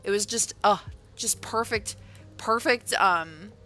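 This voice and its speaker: A woman's voice: medium pitch, takes it slowly, bright, forceful tone, faint music behind. A woman chatting casually on a livestream, rambling along with lots of fillers and correcting herself as she goes. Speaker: author and livestreamer.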